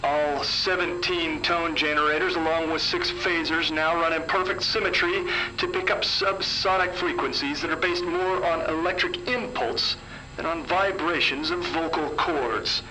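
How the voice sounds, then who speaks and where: A man's voice: fairly high pitch, fairly even intonation, normal speed, over music, pitched above the performer's own voice, natural voice, low-quality recording. A man voicing a stern male scientist who talks in a precise, formal way. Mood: solemn. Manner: precise, formal recitation of numbers.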